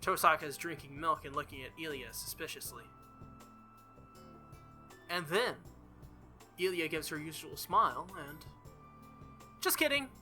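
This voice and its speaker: A man's voice: on the high side, moderate pace, long pauses, sharp tone. A man reading a visual novel aloud as a let's-play host, theatrical and jokey, with casual asides. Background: faint game music.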